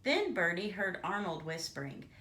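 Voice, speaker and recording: A woman reads a children's story aloud in a theatrical, expressive way. Her intonation rises and falls widely. The sound is roomy, with noticeable echo. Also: on the low side, normal speed. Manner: theatrical, asides to young listeners. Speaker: storybook reader.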